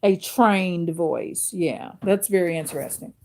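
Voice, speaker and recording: Slow, deep-voiced woman host chatting live. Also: darkish tone. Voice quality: deep authoritative voice. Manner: slow, thinking aloud with fillers and restarts; addresses viewers directly. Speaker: livestream host reading chat. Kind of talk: casual chat with live viewers.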